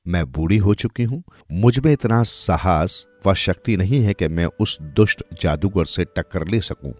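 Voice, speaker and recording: A man's voice: low-pitched, expressive, unhurried, darkish tone, no echo, faint music behind. A man voicing a weary, poor old village woman with lost magic in a storybook tale. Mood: helpful, bargaining. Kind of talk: storybook character dialogue.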